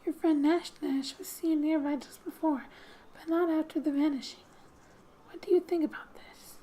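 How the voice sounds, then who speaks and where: A woman hosts a quiet gaming ASMR read-through in a high, mellow voice. She speaks softly and breathily, often slipping toward a whisper. Her delivery is gentle and unhurried, with playful asides, over background music. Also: steady tone. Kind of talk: soft-spoken ASMR game narration, reading text aloud with asides.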